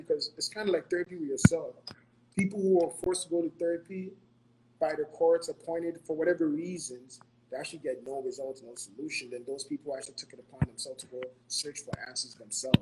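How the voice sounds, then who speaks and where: Earnest higher-voiced man from Ghana. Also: slight background noise, rough recording. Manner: earnest, repeats himself, ends points with "right?". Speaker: podcast co-host giving financial advice. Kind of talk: motivational casual talk.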